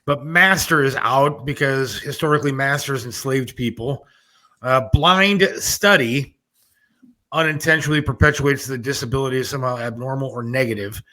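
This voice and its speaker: A man hosting a casual podcast, briskly reading items off a list and chatting with his co-host. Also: medium pitch, pushed and bright.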